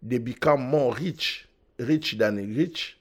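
A man, speaking as a podcast guest in halting, earnest English. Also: mid-range voice, slightly rough. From Democratic Republic of Congo. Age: 40s. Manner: halting non-native English in short earnest phrases. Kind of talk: conversational interview, personal testimony.

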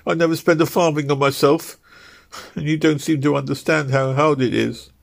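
A man pitches his voice well up to play a worn-out young wife in an old storybook reading, tearful and pleading. Her voice sits high and strained, and the words come out in plaintive sobs. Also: moderate pace, darkish tone, lightly acted. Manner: sobbing, plaintive delivery. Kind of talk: audiobook character reading.

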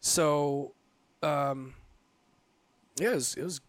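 A man's voice: on the high side, takes it slowly, no echo. Late-30s guy chatting about his work, kinda rambly, lots of ums, dry close sound. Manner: reflective, rambling with frequent fillers and restarts. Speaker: guest, photographer. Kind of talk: casual interview conversation.

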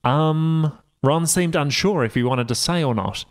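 A man's voice: on the high side, unhurried. A man reading a story aloud for comedy, with joking asides and an amused delivery that often tips into laughter. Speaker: podcast guest reading aloud.

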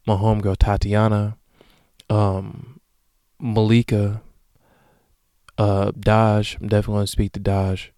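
A man in his late twenties hosting a solo podcast, talking casually and profanely with sudden loud bursts. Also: lowish voice, no room sound, clean studio sound. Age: late 20s. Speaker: podcast host.

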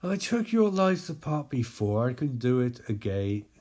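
A man playing an arrogant, power-hungry male supervillain in a comic story, boastful and forceful. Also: mid-range voice, sing-song, normal speed. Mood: defiant.

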